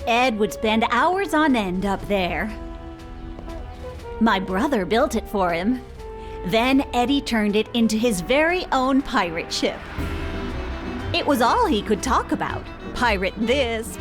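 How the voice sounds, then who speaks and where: An actress playing a fond, chatty, gently bossy woman telling family stories in a video game drama. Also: fairly high pitch, lively intonation, slow pace, over music. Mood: fond, nostalgic.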